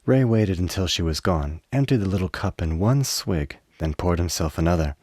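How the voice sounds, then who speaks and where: A man's voice: low voice, animated, normal speed, a little mellow, no echo, clean studio sound. A man reading scripted narration for a radio play.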